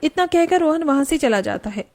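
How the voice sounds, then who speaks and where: A woman's voice: sits high, animated, a little fast, darkish tone. A woman giving scripted narration for a domestic cartoon story.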